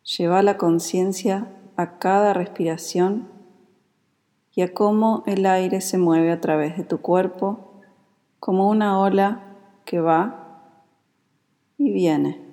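A woman with a Rioplatense Spanish accent guides a meditation in a calm, soothing, unhurried manner. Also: medium pitch. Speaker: yoga nidra meditation guide. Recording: long reverberant hall-like echo.

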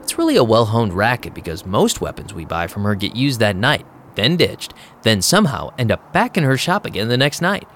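A man's voice: on the low side, wildly swooping pitch, fairly quick, few pauses, fairly bright tone, slight background noise. A man playing a wry, streetwise vampire DJ, narrating an audio drama in a sardonic, casual way.

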